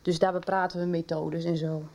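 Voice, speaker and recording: A woman's voice: fairly low pitch, little pitch movement, brisk, darkish tone, lightly acted. A woman acting in a 1990s radio soap as a kindly, encouraging literature teacher. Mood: supportive, curious.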